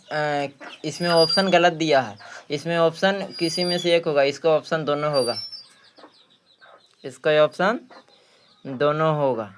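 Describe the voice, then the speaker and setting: A man's voice: fairly high pitch, slight background noise. A man with a Bihari accent teaching a maths practice lesson, repeating phrases and asking questions that he answers himself. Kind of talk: exam practice lesson, working through questions.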